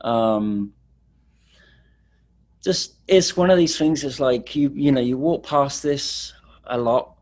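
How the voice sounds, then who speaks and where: A man's voice: medium pitch, takes it slowly, long pauses, a little mellow. A middle-aged man from England, casually telling stories as a street photographer, rambling with fillers. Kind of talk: casual presentation.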